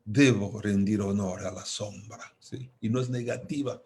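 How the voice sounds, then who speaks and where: A man from Congo with a Congolese accent, giving a reflective philosophical talk as an invited speaker, deliberate and emphatic, repeating key phrases. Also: lowish voice, steady tone, darkish tone, a little hiss or hum.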